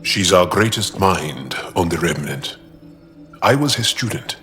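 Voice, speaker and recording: A man playing an earnest, guarded alien warrior and tinkerer in a video game, speaking haltingly and thoughtfully. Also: low-pitched, expressive, bright, forceful tone, exaggerated voice. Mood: wry, reserved. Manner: halting, thoughtful, measured delivery. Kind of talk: video game dialogue acting. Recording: reverberant room with music behind.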